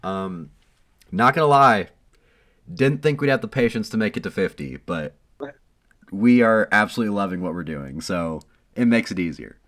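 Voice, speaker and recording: A low-voiced man co-hosts a casual sports podcast, his voice hoarse from illness. He talks in a loose, teasing way, with plenty of ums along the way. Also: animated, moderate pace, a bit bright. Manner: playful, boastful teasing with frequent ums. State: hoarse from being sick. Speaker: podcast co-host.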